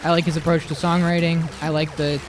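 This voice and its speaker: A man with a high voice chatting casually on a livestream, blunt and opinionated, swearing freely. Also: flat delivery, fairly quick, music in the background. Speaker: livestream co-host. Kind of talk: casual chat on a gaming stream.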